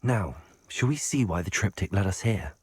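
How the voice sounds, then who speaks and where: A man's voice: deep voice, lively intonation, moderate pace, breathy edge. A man playing a level-headed, earnest teenage schoolboy wizard in a video game, with a British accent and a reasoning manner. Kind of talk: video game character acting.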